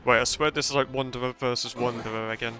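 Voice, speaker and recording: A man with a mid-pitched voice comments casually on a video game. He reacts as a streamer in loose, chatty phrases, with game music clearly audible behind him. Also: fairly even intonation, fast talker. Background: game music and dialogue.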